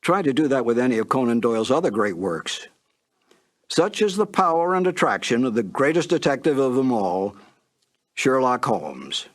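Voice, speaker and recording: A man's voice: medium pitch, big pitch swings, normal speed. A man reading a scripted introduction as the narrator of a classic radio series.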